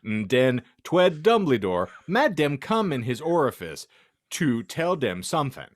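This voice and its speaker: A man's voice: medium pitch, big pitch swings, unhurried, dry recording. Theatrical man with wildly swooping delivery. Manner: theatrical, mock-serious delivery. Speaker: podcast host reading fan fiction aloud. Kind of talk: comedic dramatic reading of garbled fan fiction.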